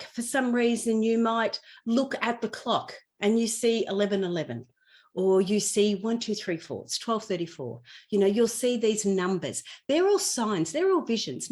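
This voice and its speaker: A woman's voice: medium pitch, a little mellow. Woman explaining in conversational teaching style. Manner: explanatory, illustrates points with personal anecdotes and everyday examples. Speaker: podcast guest explaining intuition.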